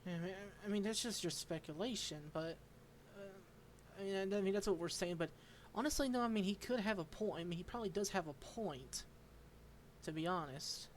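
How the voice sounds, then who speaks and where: A man's voice: high-pitched, fairly quick, slight background noise. A man giving casual, off-the-cuff reaction commentary over gameplay as a streamer. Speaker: game streamer.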